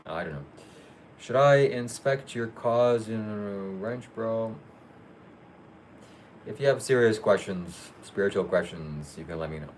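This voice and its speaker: A man hosting a casual live Q&A, reading viewer questions aloud and answering them briefly in a matter-of-fact, low-key way. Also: animated, a little slow, a little hiss or hum. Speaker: livestream host.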